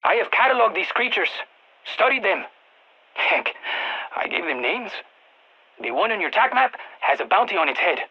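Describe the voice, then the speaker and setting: A woman voicing an eager, geeky field scientist in a video game, with a Latin American Spanish accent, talking over radio comms in an expressive, quirky way. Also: mid-range voice, moderate pace, pauses often, slightly rough, dull audio. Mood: businesslike, wry. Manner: expressive, quirky, nerdy enthusiasm. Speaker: mission guide scientist.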